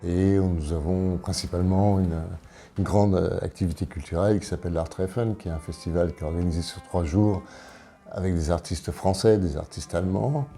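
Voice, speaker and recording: A man of sixty-two from Paris, presenting himself as an election candidate in an interview, speaking in a halting, deliberate way. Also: deep voice, steady tone, warm tone, soft background music. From Paris, France. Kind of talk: interview answers, self-presentation.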